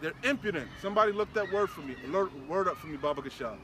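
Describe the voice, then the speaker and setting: A high-voiced man delivers fiery, informal preaching on scripture. He is emphatic and slangy, often repeating himself for force, with traffic noise around him outdoors. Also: lively intonation, rapid-fire, a bit bright. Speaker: street preacher leading a scripture discussion.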